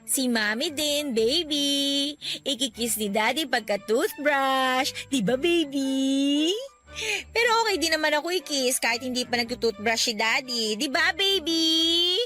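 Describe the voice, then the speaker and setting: A woman's voice: high voice, lively intonation, a little fast, hardly any pauses, bright, forceful tone. An actress playing a persistent, playful young mother in a radio drama, nagging and coquettish, with music underneath. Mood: coaxing, playful. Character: persistent, teasing young mother in love with best friend.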